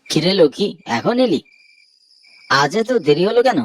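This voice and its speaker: A man's voice: sits high, animated, normal speed, a little hiss or hum. Feeble bedridden village husband played by a man. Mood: questioning. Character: bedridden, helpless village husband, meek and needy. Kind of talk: horror cartoon dialogue.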